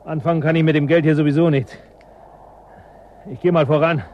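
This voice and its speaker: An actor in a German radio drama playing a gruff, mocking guide at an ice frontier, talking bluntly and sardonically. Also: male voice, on the high side, steady tone, a little fast, highs cut off, lightly acted. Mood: dry. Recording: noisy early-1980s radio drama with ambience.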